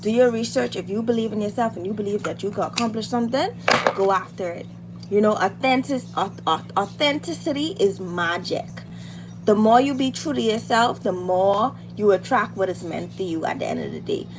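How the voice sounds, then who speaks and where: A woman's voice: medium pitch, expressive, brisk. A woman with a Bahamian accent chatting casually and encouragingly as a livestream host, in informal speech that repeats phrases for emphasis. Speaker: livestream host, beauty and hair content creator. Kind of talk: casual chat while doing makeup, motivational girl talk. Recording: loud background noise on livestream.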